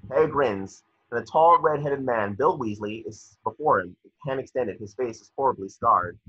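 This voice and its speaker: A man with a husky voice reading out stage directions as scripted narration at a table read on a video call. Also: medium pitch, moderate pace, bright, forceful tone, no echo. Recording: muffled, dry video call audio.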